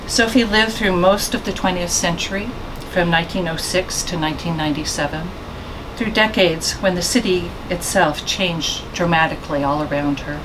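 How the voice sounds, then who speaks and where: A woman with a soft voice reading a formal introduction of a speaker at a bookstore event. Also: on the low side, normal speed, background noise.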